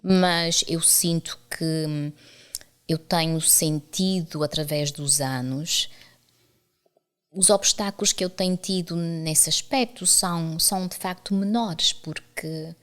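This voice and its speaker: A woman's voice: deep voice, takes it slowly. A middle-aged woman from the Azores with a Portuguese accent, an academic guest talking candidly and telling anecdotes in conversation. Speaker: university professor and author, interview guest. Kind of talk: conversational interview storytelling.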